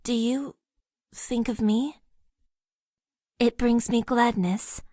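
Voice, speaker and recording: A woman voicing a shy, formal young mecha pilot in a game, speaking softly in stiff, literal phrases. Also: on the high side, steady tone, takes it slowly, dry recording, studio quality. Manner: soft-spoken, stiff and literal. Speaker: voice actress. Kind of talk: game character voice lines.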